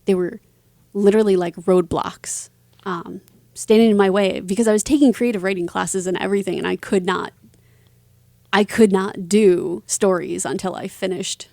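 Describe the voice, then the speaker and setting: A woman being interviewed as an author, answering conversationally in a reflective way with halting phrasing. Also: mid-range voice, steady tone, gravelly, mellow, dry recording, clean studio sound.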